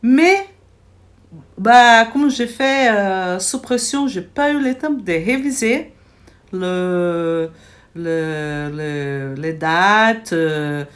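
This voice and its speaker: A woman's voice: medium pitch, lively intonation, drawn-out words. A woman with a Brazilian Portuguese accent, speaking French as a third language, chatting to camera in a vlog, hesitant with many restarts, recorded on a handheld phone. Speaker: vlogger. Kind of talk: casual chat to camera. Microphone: phone held in hand.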